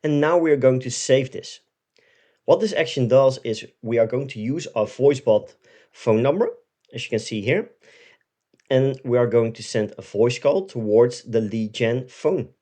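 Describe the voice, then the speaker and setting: A man in his forties presenting a software tutorial step by step, often checking in as he explains. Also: mid-range voice, unhurried. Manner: explanatory, step by step, with frequent "right?" check-ins.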